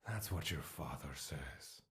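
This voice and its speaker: Audio drama role: an age-old malevolent spirit, cavernously deep and unhurried, taunting and tempting with theatrical menace. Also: male voice, animated, fairly bright tone, full character voice. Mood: seductive, goading. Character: ancient male spirit trapped within a mortal, scornful, bloodthirsty, tempting.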